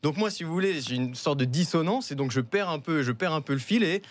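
A man's voice: a little high, lively intonation, fairly quick. A man from a broadcast crew, telling stories in casual chat. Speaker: radio and TV show team member. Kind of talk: casual animated storytelling.

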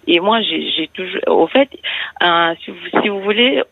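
A woman in her sixties with a Mauritian accent, calling a radio show and telling her story in a halting, hesitant way full of fillers and restarts. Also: fairly low pitch, animated, vocal fry, no echo. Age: 60s. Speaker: radio caller. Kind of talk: personal confession on a call-in show. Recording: phone line.

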